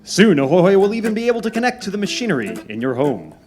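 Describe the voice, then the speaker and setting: Old-time radio announcer played by a man: bright-spirited salesman, highly varied intonation, theatrical, over music. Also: very animated, fast. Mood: upbeat, theatrical.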